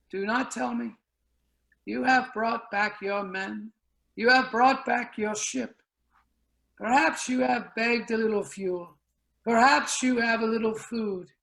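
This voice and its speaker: An actor performs a grave elder spokesman, weary and embittered. The words come slowly and deliberately, with a heavy creak. The delivery is declamatory, like a staged reading of a science fiction tale. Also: medium pitch, fairly bright tone, poor audio. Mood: bitter resignation. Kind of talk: dramatic virtual play reading. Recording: video call reading, uneven sound.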